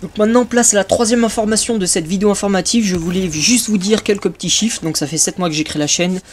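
Hesitant young man, slightly high voice. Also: quick pace, a little hiss or hum. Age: young adult. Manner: hesitant, many filler sounds. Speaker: YouTube gaming channel host. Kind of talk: casual channel update voice-over.

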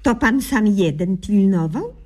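A woman playing an earnest, naive young doctor in a radio comedy sketch, deadpan in her quaintly mangled officialese. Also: medium pitch, unhurried, husky. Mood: puzzled.

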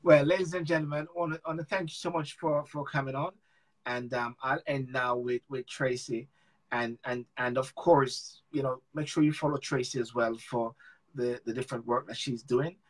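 A male talk show host with a husky, slightly rough voice at an ordinary middle pitch. He talks over a video call in a hesitant way, stumbling through restarts and answering with steady affirmations. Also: moderate pace, rough recording. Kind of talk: casual talk-show chat.

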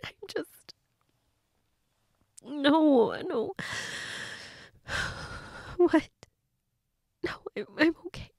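Woman performing a grieving girlfriend, high voice, very slow and halting, crying, fragile, whispery, intimate audio role-play. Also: lively intonation, gravelly, darkish tone, no echo, clean studio sound, full character voice. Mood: tearful, fragile. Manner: halting, repeating herself, often dropping to a whisper. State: crying, sniffly, breathy from tears. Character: grieving girlfriend, tearful and fragile, insisting she's fine.

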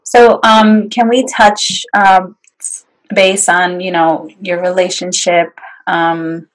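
A woman hosting a podcast interview, asking gentle questions and offering short encouraging replies. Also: lowish voice, flat delivery, slow pace, rough recording. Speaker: podcast host.

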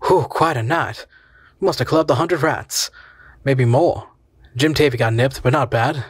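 Guy doing laid-back country husband, calm and soothing, husky voice. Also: medium pitch, measured pace, no echo, clean studio sound. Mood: cheerful. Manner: folksy, soothing.

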